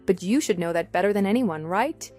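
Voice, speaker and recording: A woman's voice: medium pitch, lively intonation, a little fast, a little mellow, natural voice. A woman playing a loyal, easily exasperated wife in a scripted online radio drama. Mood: curious. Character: exasperated, loyal wife, indignant but trusting. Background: background music.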